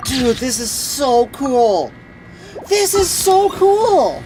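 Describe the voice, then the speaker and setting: A woman livestreaming a video game with casual, slangy, excitable commentary. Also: high voice, extremely slow, noisy background. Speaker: game streamer. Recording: game music behind the voice.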